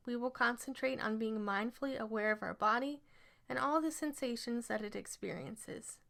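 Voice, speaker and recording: Higher-voiced woman guiding meditation, creaky edge. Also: a bit bright. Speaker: guided meditation instructor. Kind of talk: scripted guided relaxation narration.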